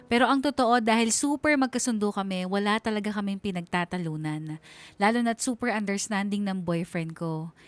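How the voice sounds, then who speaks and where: Actress as chatty, lovestruck young Filipina narrator, music underneath. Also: female voice, mid-range voice, natural voice. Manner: chatty, gushing. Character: giddy, lovestruck young woman telling her story. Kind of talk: dramatized letter narration.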